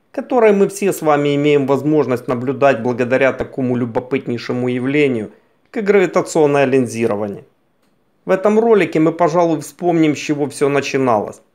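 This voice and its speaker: A man reading scripted science documentary narration in a measured, formal, carefully enunciated way. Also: medium pitch, darkish tone. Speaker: narrator of a science news video. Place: voice-over recording.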